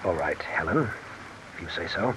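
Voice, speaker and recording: A man playing a guarded, polite, smooth-talking crooked assistant in 1950s radio mystery style. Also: sits low, normal speed, rough recording. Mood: wary, defensive. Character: guarded, smooth-talking embezzling male assistant under pressure. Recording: old radio recording with steady rain noise. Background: rain falling.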